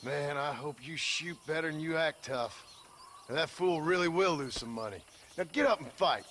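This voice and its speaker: An actor playing a wry, unflappable gunslinger with an American Western drawl in a video game. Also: male voice, on the high side, normal speed, fairly bright tone, a little hiss or hum, close to an everyday voice. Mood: challenging, dry. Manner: dry, laconic. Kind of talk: video game cutscene acting.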